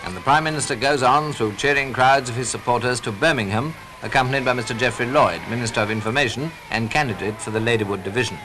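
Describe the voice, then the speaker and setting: A man's voice: mid-range voice, fast talker, few pauses, noisy background. A British man reading scripted newsreel commentary in the style of the 1940s, as a narrator. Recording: vintage 1940s newsreel soundtrack with music. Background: music.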